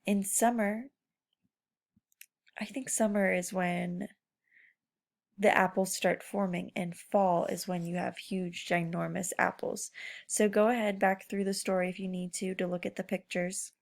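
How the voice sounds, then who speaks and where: A woman's voice: on the low side, flat delivery, measured pace, creaky. A woman teacher giving an informal read-aloud lesson to children, prompting them with guiding questions. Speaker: schoolteacher.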